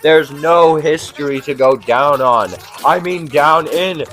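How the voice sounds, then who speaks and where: A man's voice: a little high, slow, fairly bright tone, faint music behind. A young man delivering a scripted absurdist comic monologue in a theatrical, sarcastic, exasperated way, swearing freely like a mock stand-up host. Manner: theatrical, profane, exasperated sarcasm with rhetorical questions.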